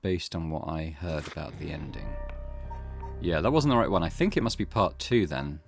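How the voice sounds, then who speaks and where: Low, lively man thinking aloud. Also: normal speed, creaky, husky, soft background music. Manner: thinking aloud, self-correcting. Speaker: let's play host. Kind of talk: game commentary.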